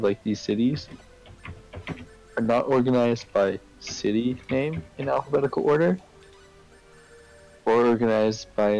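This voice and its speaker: A man chatting casually as he streams a game, halting and often repeating his words. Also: medium pitch, creaky, a little mellow, poor audio. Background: video game music.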